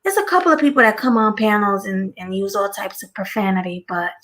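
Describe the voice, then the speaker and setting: A woman on an online panel with a soft voice, asking questions in an unsure way. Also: mid-range voice, brisk, faint music behind. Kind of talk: casual online panel chat.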